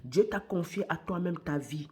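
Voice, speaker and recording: A woman preaching a morning sermon while she has a cold. Her voice is worn, and she speaks deliberately, repeating key phrases and sometimes dropping to a hush. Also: on the low side. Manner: slow and deliberate, repeats phrases, hushed at times.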